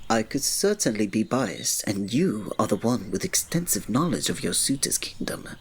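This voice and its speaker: A man playing a reserved, devoted royal servant in an ASMR romance roleplay, speaking softly, politely and formally with a breathy voice. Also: medium pitch. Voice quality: soft, breathy. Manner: soft, polite, formal, restrained, often dropping to a breathy murmur.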